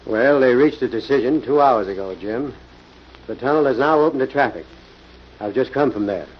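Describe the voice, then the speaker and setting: An actor playing a brisk, grave and decisive police inspector in 1940s radio style, with a husky voice. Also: male voice, medium pitch, animated. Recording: dull, lo-fi old radio recording.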